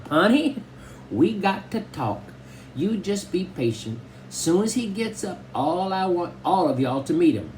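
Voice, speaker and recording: A tiny old Southern grandmother, put on by a man reading a storybook aloud, fussing over her family in a high, folksy drawl. She is doting but bossy, quick to hand out orders. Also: big pitch swings, darkish tone, a little hiss or hum. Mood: soothing.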